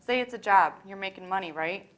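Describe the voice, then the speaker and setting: A woman's voice: medium pitch, fairly quick, a bit bright, natural voice. A young woman acting out scripted monologue lines in a student readers theater show. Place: college library event. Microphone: worn microphone.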